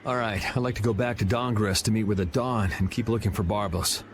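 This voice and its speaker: A man's voice: on the low side, fairly quick, slight background noise, natural voice. An actor playing a confident, cheeky young swordsman in a video game, dry and laid-back, with an American accent. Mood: cool, casual.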